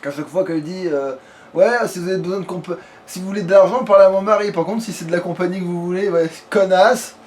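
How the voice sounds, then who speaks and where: A man's voice: high-pitched, fairly even intonation, fast talker, darkish tone, recorded from a distance, a little hiss or hum. A man chatting casually through a video game let's play, grumbling and swearing in crude slang. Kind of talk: casual gameplay chat.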